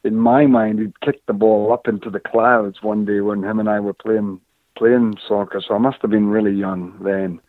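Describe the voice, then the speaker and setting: Interview guest, male, from Scotland, low-pitched, hesitant, heard over a dry phone line. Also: level tone, normal speed, no room sound. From Scotland, raised in northern England. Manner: hesitant, many fillers and restarts. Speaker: guest, former football player. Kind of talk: casual interview, storytelling.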